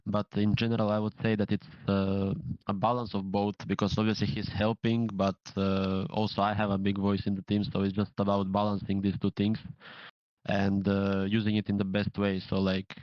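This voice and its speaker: A man answering interview questions as a team's in-game leader, measured and pausing to find his words. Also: fairly low pitch, monotone, no echo. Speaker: professional gamer, in-game leader. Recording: dull lo-fi sound.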